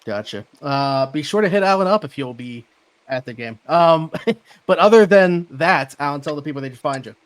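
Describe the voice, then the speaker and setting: A man's voice: a little high, expressive, normal speed. A man with a Pittsburgh accent chatting casually as co-host of a sports podcast. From Pittsburgh area, United States.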